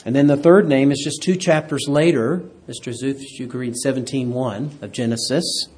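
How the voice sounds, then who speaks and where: A man's voice: medium pitch, fairly even intonation, moderate pace, a little mellow, slightly rough. A man teaching a Bible class who keeps quizzing his listeners and asking them for an amen. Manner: quizzes the class, asks for amens. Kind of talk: interactive Bible lesson.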